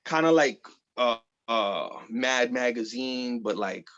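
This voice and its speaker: A man's voice: medium pitch, animated, slow, fairly bright tone. Rambling man in his thirties, muffled audio. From the Bronx, New York. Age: 30s. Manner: loose and rambling, full of "like" and "bro", swears casually. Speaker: guest artist. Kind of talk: casual interview chat.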